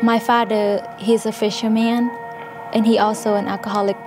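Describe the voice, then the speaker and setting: A woman speaks softly and plainly, her pitch staying very level throughout. She is recorded on a studio interview set with a clear mic while music runs behind her. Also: flat delivery, moderate pace. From Cambodia. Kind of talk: personal testimony. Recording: music underneath.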